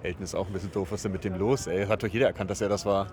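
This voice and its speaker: A man's voice: low-pitched, steady tone, rapid-fire, a little mellow. A man hosting a casual travel podcast, talking in a joking, teasing, sarcastic way. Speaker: podcast host recording travel voice messages. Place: outdoors in a busy city. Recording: noisy outdoor street with traffic.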